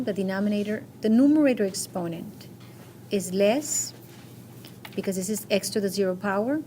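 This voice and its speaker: A woman's voice: medium pitch, a little mellow. A woman teaching a math class, going over quiz answers step by step and checking with short questions. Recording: noisy classroom recording.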